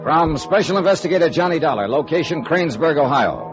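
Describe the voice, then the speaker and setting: A man playing a tough, no-nonsense insurance investigator in a radio noir drama, brisk and clipped in delivery. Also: fairly high pitch, big pitch swings, over loud music. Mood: brisk, businesslike. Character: hard-boiled, no-nonsense male freelance insurance investigator. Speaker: lead actor and first-person narrator. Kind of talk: 1950s radio noir acting. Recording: dull, lo-fi vintage radio recording.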